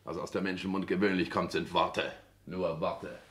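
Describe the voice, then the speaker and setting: An actor playing a pompous, self-important husband in a 1960s German radio play, declaiming and lecturing with affected French phrases. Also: male voice, low voice, steady tone, normal speed, a bit bright, a little hiss or hum. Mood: pedantic, lecturing.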